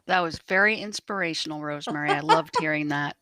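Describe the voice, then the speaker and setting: A woman co-hosting a stream, reading viewer comments aloud in a deliberate way. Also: low voice, sharp tone, dry recording, clean studio sound.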